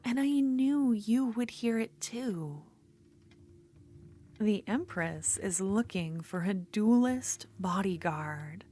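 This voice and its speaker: A woman playing an obsessive, unhinged female duelist rival in an ASMR fantasy roleplay, speaking intimately and often slipping toward a breathy whisper. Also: mid-range voice, lively intonation, takes it slowly, mellow. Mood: brooding, gloating.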